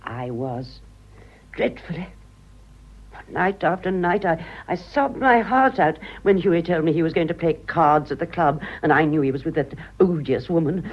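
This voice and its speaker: A husky older British woman in a radio drama, vain and self-pitying. Her low voice swoops widely as she confides with theatrical pauses, on a slightly dull recording. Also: animated. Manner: dramatic, confiding, halting for effect.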